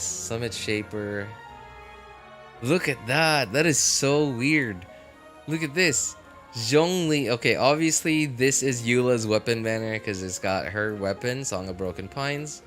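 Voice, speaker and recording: A man hosting a game livestream, rambling casually and excitably, exclaiming and musing aloud. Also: medium pitch. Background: game music.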